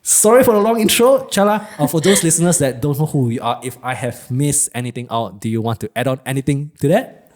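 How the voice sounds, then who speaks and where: Fast-talking man hosting. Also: medium pitch, lively intonation, a little mellow. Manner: rambling, strings many questions together. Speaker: podcast host. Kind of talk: casual interview chat.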